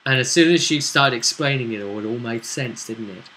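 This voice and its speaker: Bright-voiced man, explaining game. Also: mid-range voice, brisk, low-quality recording. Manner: explanatory, checking with tag questions. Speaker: game master and show host. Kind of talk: recapping a tabletop role-playing session conversationally.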